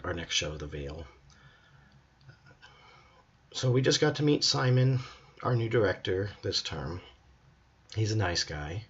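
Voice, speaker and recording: A hoarse young man, a drama student, talking earnestly and reflectively in a personal video journal. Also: lowish voice, dull audio. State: hoarse, voice hard to hear.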